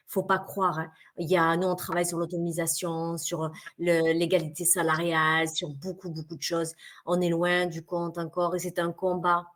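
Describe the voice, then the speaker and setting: A woman's voice: on the low side, little pitch movement, normal speed, a bit bright. Emphatic woman from France on a call. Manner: emphatic, repetitive, insistent. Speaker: panelist. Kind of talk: passionate webinar discussion. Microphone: video call.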